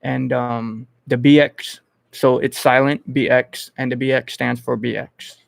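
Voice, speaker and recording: A man from the Bronx, hosting a casual livestream and thinking aloud in an informal, halting way. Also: medium pitch, little pitch movement, gravelly, no echo. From Bronx, New York. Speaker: host of an online entertainment interview channel. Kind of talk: casual livestream chat answering viewers.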